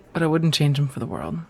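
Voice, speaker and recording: A woman's voice: low-pitched, vocal fry, heavily acted. A woman playing a friendly, teasing firefighter on a date in an intimate, casual ASMR romance roleplay, chatty and reassuring. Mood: wry, playful. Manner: chatty, playfully wry, reassuring, sprinkled with soft laughs.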